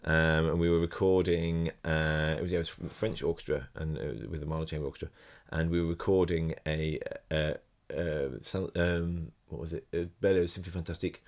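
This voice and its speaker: A middle-aged musician talks in a low voice, chatty and full of restarts. He sings rhythms and imitates the people in his stories. The sound is narrow and tinny, like a phone call. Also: steady tone, fairly quick, hardly any pauses. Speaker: guest, professional trombonist. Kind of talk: casual podcast chat, anecdotes. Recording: thin phone-like audio.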